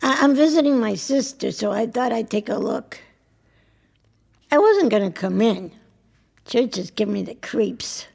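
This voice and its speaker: An actress playing a wry, wistful married woman in an audio drama, chatty and hesitant, with a creaky voice. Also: a little high, warm tone, full character voice. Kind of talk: naturalistic audio drama acting.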